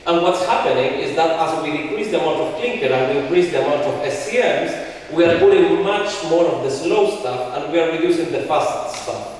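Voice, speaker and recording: A man's voice: on the high side, slight background noise. A man from Chile giving a technical conference talk, explaining slides step by step with frequent restarts, heard from far off in a large hall. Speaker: conference speaker.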